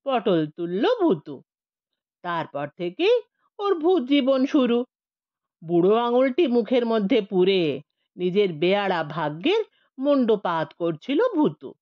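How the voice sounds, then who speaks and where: Woman, comic audiobook narration, very lively intonation, playful dramatic emphasis, dry slightly lo-fi sound. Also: on the high side, no echo. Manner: theatrical, playful, expressive emphasis with drawn-out words. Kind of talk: animated comic storytelling, scripted narration.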